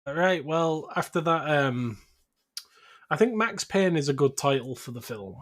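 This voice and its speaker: A man's voice: fairly high pitch, expressive, measured pace, fairly bright tone. A man co-hosting a podcast, talking casually about films with friends. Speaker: podcast co-host. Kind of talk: casual film chat.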